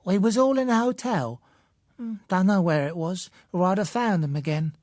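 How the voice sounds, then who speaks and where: Man acting a plaintive old London woman, high-pitched. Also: animated, darkish tone, dry recording, clean studio sound. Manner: colloquial, ungrammatical, halting. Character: huddled old blind woman, wary, plaintive, uneducated.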